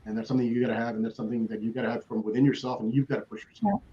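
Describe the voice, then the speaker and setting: A man's voice: medium pitch, steady tone, racing. A man speaking as a panelist in a motivational way, emphatic and repeating himself for weight. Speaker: children's author, panelist. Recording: dull, lo-fi call audio.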